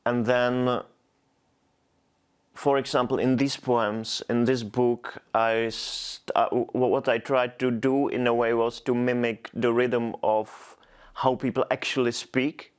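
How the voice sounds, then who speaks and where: A man's voice: medium pitch, little pitch movement, takes it slowly, clear, resonant tone. A man with a Czech accent, Czech being his native language, answering as a poet in an interview. He speaks thoughtfully and hesitantly, often stopping to restart words. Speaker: poet, guest.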